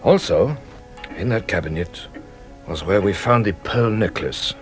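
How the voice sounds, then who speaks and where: A shrewd, middle-aged French detective in a radio mystery. His low voice moves through wide, theatrical swings, measured and secretive, with the air of someone used to giving orders. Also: lively intonation, soft background music. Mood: hushed command. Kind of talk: radio drama acting.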